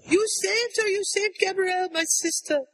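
A man voicing a young French woman, breathless and grateful, with a high, gushing voice. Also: fairly even intonation, moderate pace, fairly bright tone. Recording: long echoey reverb.

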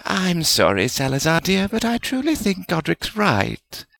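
A man voicing a kindly female witch spirit with a gentle, motherly, soothing manner in a storybook reading. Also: high-pitched, huge pitch swings, moderate pace, creaky, pitched above the performer's own voice, heavily acted.

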